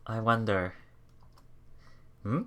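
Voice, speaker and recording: An excitable game streamer who reads story text aloud and reacts casually, often repeating words and phrases. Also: medium pitch, drawn-out words. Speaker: let's play streamer.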